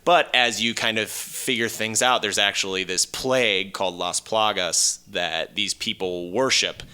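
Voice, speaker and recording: A man with a deep but very bright, forceful voice co-hosts a casual podcast. He talks bluntly and jokes around, swearing now and then as he banters through pitches. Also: unhurried, clear, resonant tone. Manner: blunt, profane, joking. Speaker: podcast co-host.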